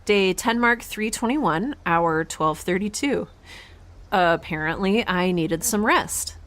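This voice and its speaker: Weary scientist, lowish pitch, slow delivery, halting, wry, determined, injured, audio drama log. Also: female voice, lively intonation, a bit bright. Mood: weary, businesslike. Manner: halting, wry, trailing off mid-thought. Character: exhausted, injured, determined young woman scientist keeping a ship log.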